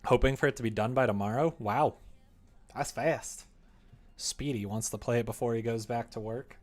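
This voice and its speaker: A man's voice: mid-range voice, moderate pace, faint music behind. A young man livestreaming casually at his computer, thinking aloud in a meandering, muttering way. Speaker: livestreamer developing a visual novel. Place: indoor room at a computer.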